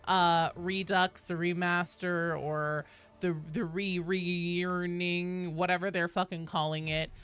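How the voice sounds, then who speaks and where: A woman streamer with a bright, crisp voice and lively, swinging pitch. She chats casually and informally with her viewers, swearing freely, on a close, dry-sounding recording. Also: dry recording. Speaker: live streamer hosting a game stream. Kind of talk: casual livestream chat with viewers.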